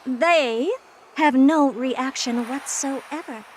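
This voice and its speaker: A woman's voice: high voice, drawn-out words, a little hiss or hum. An actress playing a haughty, blunt young female genius scientist in a video game, dry and self-assured. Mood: dry, skeptical. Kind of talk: animated video game voice acting.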